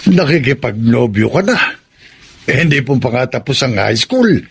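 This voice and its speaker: A man playing a gentle, patient old grandfather who soothes and admonishes, in radio drama style. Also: mid-range voice, expressive, normal speed, vocal fry, slightly rough, exaggerated voice. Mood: gentle admonishing. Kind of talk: Tagalog radio drama acting.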